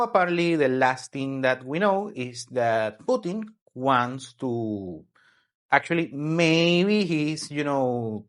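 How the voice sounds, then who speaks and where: Slow-talking man giving news commentary. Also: medium pitch, a bit bright. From Venezuela. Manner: halting, searching for words as he explains. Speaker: host.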